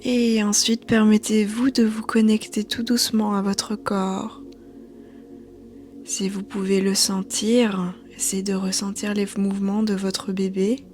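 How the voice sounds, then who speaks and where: Slow, hushed woman guiding meditation. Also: mid-range voice. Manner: soothing, hushed, breathy delivery. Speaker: yoga teacher. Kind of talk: guided meditation narration. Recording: soft background music. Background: quiet music.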